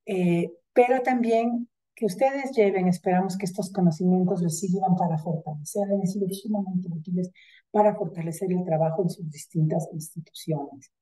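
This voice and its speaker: A middle-aged woman giving closing remarks on a video call, measured and hesitant, with many fillers. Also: on the low side, mellow, low-quality recording. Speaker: institutional representative. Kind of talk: closing remarks at an online event. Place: indoors with virtual background.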